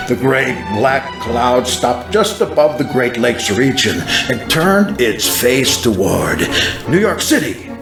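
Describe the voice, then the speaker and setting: A man gives a dramatized, scripted narration of an apocalyptic vision in a solemn, theatrical, drawn-out way. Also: on the high side. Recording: roomy echo under loud music. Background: music.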